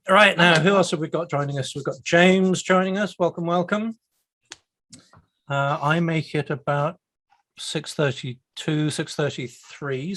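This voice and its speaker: A man's voice: fairly high pitch, a bit bright. A man from the UK hosting an online meetup over a video call, chatty and self-deprecating. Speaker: meetup host. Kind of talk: casual online meetup chat.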